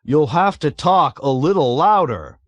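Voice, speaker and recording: A man voicing a calm, oblivious male navigator who speaks in a mild, innocently puzzled way. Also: fairly high pitch, slow, no room sound, pitched above the performer's own voice.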